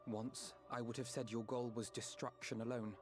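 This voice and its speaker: Game hero, male actor as principled scholar: mid-pitched, formal, diplomatic, sincere, with clear score behind. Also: level tone, lightly acted. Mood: earnest appeal. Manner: measured, formal, reasoning. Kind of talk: dramatic fantasy video game acting. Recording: music behind the voice.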